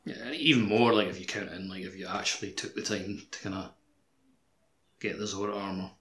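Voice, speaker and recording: A man's voice: low-pitched, brisk, fairly bright tone. A man chatting casually on a gaming podcast, informal and often saying "like" as he goes. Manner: informal, peppered with "like". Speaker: podcast panellist. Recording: echoey room.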